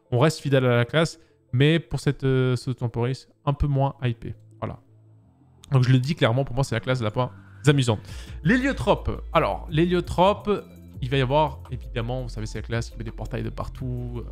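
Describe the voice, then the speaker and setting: A man's voice: mid-range voice, a little mellow. A man in his thirties who grew up in Switzerland, casually talking viewers through game classes from a home streaming setup, with filler words and direct address. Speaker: gaming content creator. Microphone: studio mic on boom arm. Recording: faint background music.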